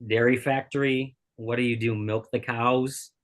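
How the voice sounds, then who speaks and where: A woman's voice: a bass voice, fairly even intonation, a little slow. Actress doing a short-tempered dancer woman, curt n sardonic, kinda scornful, film script read. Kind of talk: screenplay table read.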